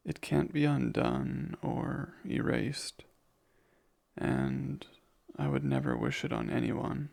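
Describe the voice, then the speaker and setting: A man playing a kind, remorseful vampire in an ASMR roleplay, speaking softly and tenderly with hesitant, formal, careful phrasing. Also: mid-range voice, creaky, exaggerated voice. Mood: solemn, confessional. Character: kind, gentle, remorseful male vampire comforting someone. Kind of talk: ASMR audio roleplay, soft intimate monologue.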